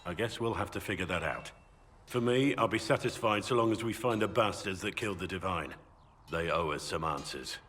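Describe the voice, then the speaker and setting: An actor playing a gruff, brooding veteran warrior in a video game, speaking in a blunt, earnest way. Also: male voice, sits low, a little fast, fairly bright tone, a little hiss or hum. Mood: subdued, reflective. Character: gruff, brooding bearded veteran warrior, a principled Grey Warden. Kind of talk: video game dialogue acting.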